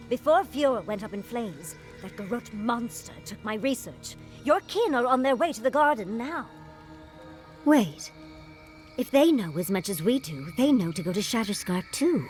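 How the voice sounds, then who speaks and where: Woman playing a tiny chatty know-it-all scholar in a video game, high voice, super lively, theatrical. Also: normal speed, faint music behind, natural voice. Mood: urgent. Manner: theatrical, breezy. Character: tiny, eager, chatty female scholar, self-assured. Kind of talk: scripted video game dialogue.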